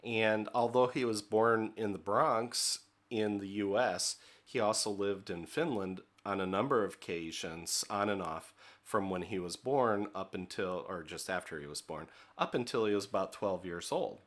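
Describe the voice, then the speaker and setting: Middle-aged American man, lower register, leadership trainer, chatty and informal, lots of fillers. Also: moderate pace, hardly any pauses, fairly bright tone. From United States. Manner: conversational, many fillers, asks "okay?". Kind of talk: recorded training lecture.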